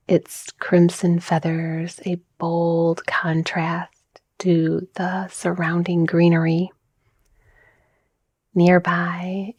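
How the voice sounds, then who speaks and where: A woman softly narrating a guided relaxation visualization in a breathy, soothing, measured manner. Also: fairly low pitch, dry recording. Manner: soft, breathy, soothing, gently measured delivery. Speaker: guided meditation narrator, mindset coach.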